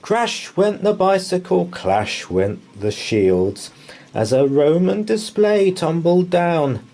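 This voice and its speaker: A man's voice: high voice, big pitch swings, takes it slowly, mellow. A man reading a children's bedtime story aloud in rhythmic verse, with a theatrical, dramatic delivery. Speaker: storybook reader.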